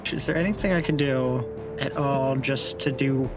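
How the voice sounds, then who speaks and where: Mid-pitched player narrating a tabletop character. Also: normal speed. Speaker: player in a tabletop roleplaying game. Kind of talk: casual third-person character narration. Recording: music playing softly behind. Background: faint background music.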